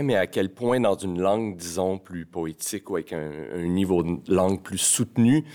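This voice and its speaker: A man with a fairly low voice. He puts questions as an interviewer in a conversational way, building his phrases in an articulate, measured manner.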